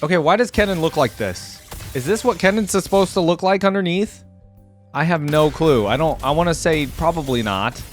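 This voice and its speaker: A young man giving casual, expressive reaction commentary as a video host, easily amused. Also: on the high side, moderate pace. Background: game audio and music.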